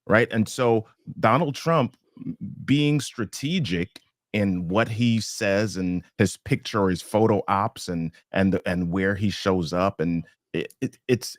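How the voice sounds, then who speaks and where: A man with a fairly low voice explains his ideas as a guest on a live business panel. He talks things through and keeps checking in with his listeners. He speaks from a home studio, and the sound is dry and close. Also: no room sound. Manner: explanatory, often adding "right?". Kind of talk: panel discussion. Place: home studio with accent lighting.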